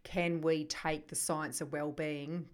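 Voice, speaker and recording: A woman's voice: low voice, little pitch movement, slow pace. A middle-aged woman with a New Zealand accent, a psychotherapist talking as a podcast guest, thoughtful and searching for her words. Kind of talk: conversational podcast interview.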